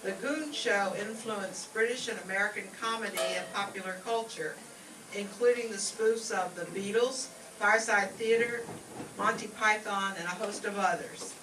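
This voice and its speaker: A woman introduces a radio show from a script in a bright, forceful voice of average pitch. She sounds slightly distant in an echoey space, with faint noise. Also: normal speed.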